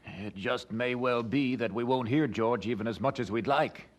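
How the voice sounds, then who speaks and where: An actor playing a courteous, supportive colonial gentleman in an audio drama, polite and formally conversational. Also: medium pitch, normal speed, natural voice. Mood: concerned, frank.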